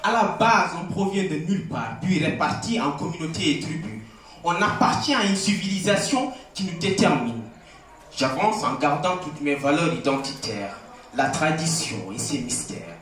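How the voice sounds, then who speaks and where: A man's voice: on the high side, sing-song, moderate pace, fairly bright tone. Echoey, impassioned male slam poet. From Mauritania. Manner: declamatory, solemn, impassioned. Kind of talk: slam poetry recitation. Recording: very echoey, distant mic, faint noise.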